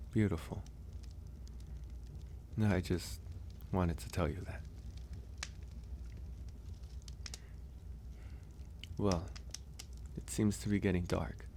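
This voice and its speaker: A gentle young male werewolf, acted by a man for an ASMR audio roleplay, speaks in a very low voice at a very slow pace. He is shy and reassuring, hesitating softly between thoughts. There is light background noise. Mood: tender. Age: young adult. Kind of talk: ASMR audio roleplay, soft intimate acting.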